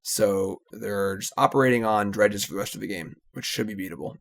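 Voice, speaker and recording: A man in his thirties, relaxed and analytical as he commentates his card game. His voice sits low and carries a gravelly, fried edge. He lets his thoughts trail off with a casual "so" before he moves on. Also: a little fast.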